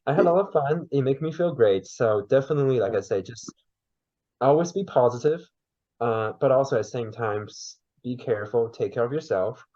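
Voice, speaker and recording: A man from China with a Mandarin Chinese accent, whose first language is Mandarin, chatting lively as a podcast guest and searching for words. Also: mid-range voice, brisk, dull audio. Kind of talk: casual interview chat.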